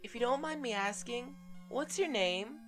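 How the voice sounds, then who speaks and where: Audio drama monster kid, goat-like, gentle and polite, high-pitched played by a woman, music underneath. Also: lively intonation, moderate pace, natural voice. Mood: friendly, gentle. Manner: polite, caring, inquisitive. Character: kind young monster goat child, gentle and helpful.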